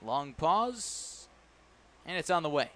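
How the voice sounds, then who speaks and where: A man calling a baseball game live on the radio as the play-by-play announcer, animated on big plays and playful with his phrasing. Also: on the high side, takes it slowly. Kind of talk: live radio sports commentary. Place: live at a ballpark broadcast position.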